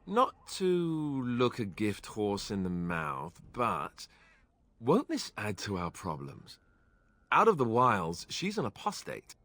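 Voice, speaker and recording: An actor playing an earnest, wary young warrior in a video game, boyish and a little hesitant. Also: male voice, on the low side, wildly swooping pitch, slow, a bit bright, no echo, exaggerated voice. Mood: doubtful. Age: young adult. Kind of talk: video game dialogue acting.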